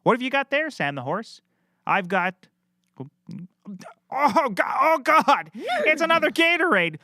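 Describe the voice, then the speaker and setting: A man playing a bumbling, oblivious, excitable private detective in a scripted audio comedy, melodramatic and cheerfully clueless. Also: very high-pitched, takes it slowly, dry recording, heavily acted. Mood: dramatic, rambling.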